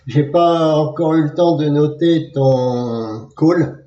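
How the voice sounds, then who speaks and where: A man with a mellow, fairly high voice speaks in a very roomy, echoing space. He is an amateur radio operator in a casual contact, measured as he spells out call signs. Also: fairly even intonation, lo-fi sound. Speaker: amateur radio operator filming for his channel.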